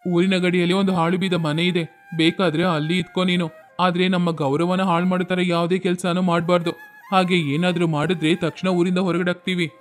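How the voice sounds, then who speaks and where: A man's voice: high voice, flat delivery, fairly quick, soft background music, lightly acted. A man voicing a proud, stern village elder in a moral story, haughty and scolding. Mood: grudging, warning.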